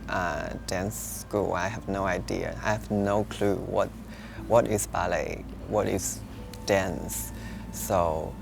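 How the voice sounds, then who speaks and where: A man's voice: lowish voice, gravelly. A man with a Chinese accent, a dancer talking reflectively in an interview. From China. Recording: loud music behind the voice. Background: loud background music.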